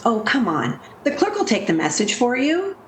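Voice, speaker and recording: A woman's voice: fairly high pitch, huge pitch swings, normal speed, slight background noise. An actress playing a sensible, worried woman in a 1940s radio drama, speaking with earnest, theatrical delivery. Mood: impatient, coaxing. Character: sensible, worried woman friend, haunted when narrating the past. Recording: echoing radio play recording with music behind.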